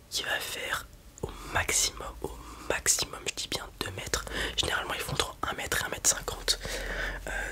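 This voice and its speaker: A man in an ASMR roleplay, whispering breathily as he casually and hesitantly explains things in the role of a breeder.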